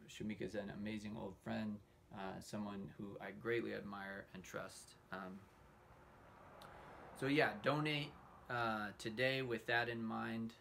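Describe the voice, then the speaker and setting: A man in his thirties with a lowish, gravelly voice hosts informally, pausing often mid-thought. His delivery stays fairly even. The indoor recording carries a faint noise. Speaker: host introducing a puppetry showcase. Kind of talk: informal spoken introduction to a livestream. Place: indoor room hung with fabric backdrops. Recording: noisy room recording.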